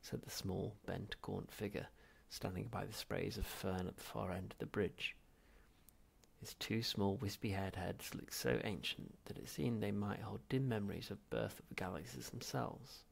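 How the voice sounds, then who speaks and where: A man narrates a story from a written script. His voice sits a little low, with very heavy creak and a husky, rough grain. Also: moderate pace. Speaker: audiobook reader.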